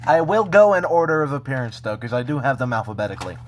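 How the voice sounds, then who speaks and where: A man talking casually and unscripted as he shows off a personal collection, halting between phrases. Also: medium pitch, slight background noise. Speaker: vlogger showing a personal collection. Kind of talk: casual unscripted show-and-tell.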